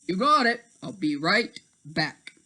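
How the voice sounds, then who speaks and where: A man's voice: high voice, very animated, takes it slowly, bright, forceful tone, heavily acted. A man voicing a helpful, well-meaning young male fairy friend in a children's storybook, earnest and obliging. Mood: cheerful.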